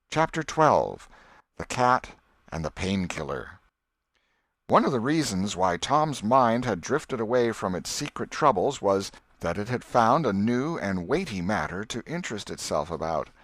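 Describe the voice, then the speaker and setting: A man reading a storybook as an audiobook narrator, in a measured, expressive way. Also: on the low side, no room sound, clean studio sound.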